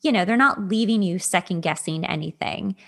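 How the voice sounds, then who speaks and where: A woman's voice: mid-range voice, fairly quick, gravelly. A woman hosting a podcast, talking casually about personal advice in a conversational way, repeating words for emphasis. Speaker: podcast host. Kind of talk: casual dating advice chat.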